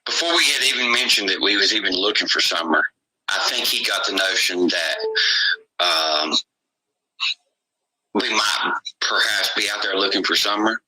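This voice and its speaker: A man calling in as a guest searcher, telling his account in a halting, repetitive way. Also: low-pitched, pushed and bright, rough recording. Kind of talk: casual call-in conversation.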